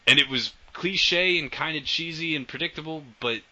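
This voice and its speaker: A man's voice: on the high side, moderate pace, pushed and bright. A man co-hosting a podcast, chatting casually and rambling with frequent laughs and casual swearing. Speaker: podcast co-host. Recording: dull lo-fi podcast audio.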